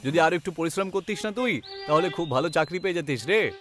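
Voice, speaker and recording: A high-voiced young village man, acted by a man in a cartoon tale, smug and taunting. He drags out his teasing words while music plays underneath. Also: lively intonation, fast, natural voice. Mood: mocking. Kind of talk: animated cartoon story voice acting.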